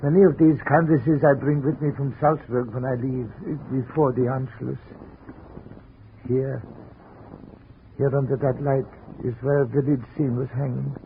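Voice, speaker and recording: A man acting an anxious middle-aged Austrian immigrant gallery owner in a 1950s radio drama, halting and emotional. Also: mid-range voice, fairly even intonation, gravelly. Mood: anguished, pleading. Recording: noisy, tinny 1950 radio recording.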